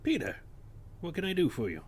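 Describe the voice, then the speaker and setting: A distracted, wry astronomy professor with a husky, breathy edge and a voice that swings widely up and down, as a man plays him. His delivery is dry and teasing, with a raised pitch for a man. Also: huge pitch swings, brisk, full character voice. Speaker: voice actor in a script reading. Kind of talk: radio-play style script reading.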